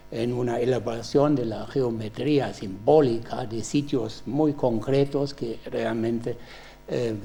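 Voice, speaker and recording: A man, a thesis examiner asking a question in careful, halting Spanish with many pauses. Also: medium pitch, gravelly, dark tone. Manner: slow, halting, many filler sounds. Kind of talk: formal academic questioning in non-native Spanish.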